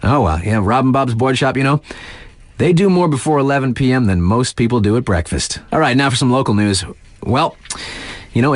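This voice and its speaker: A man's voice: medium pitch, no room sound, close to an everyday voice. An actor playing a laid-back, wry male radio DJ in a video game, talking in casual slang to hype up his listeners. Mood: wry, amused.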